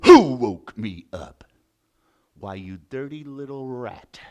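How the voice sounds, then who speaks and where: A man voicing a big, bad, boastful lion king who is quick to anger, blustering and taunting in a story for children. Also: mid-range voice, lively intonation, music in the background. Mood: furious.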